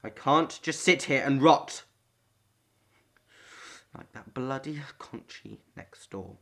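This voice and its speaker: A man's voice: mid-range voice, animated, takes it slowly, fairly bright tone. A man playing a restless, blunt farmer and father in a wartime audio play. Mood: frustrated.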